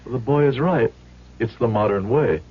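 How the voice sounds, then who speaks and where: A man's voice: mid-range voice, moderate pace, husky, close to an everyday voice. An actor playing a troubled, honest immigrant shopkeeper father in an old radio drama, earnest and questioning. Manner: earnest, questioning, careful phrasing. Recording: muffled, humming vintage radio recording.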